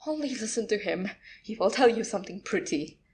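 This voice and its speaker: An actress playing a scheming young wife who acts the indignant victim in a stage comedy, scolding and aggrieved. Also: female voice, medium pitch, lively intonation, a little fast, a little mellow, lightly acted. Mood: aggrieved complaint. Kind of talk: audio play of classic stage comedy.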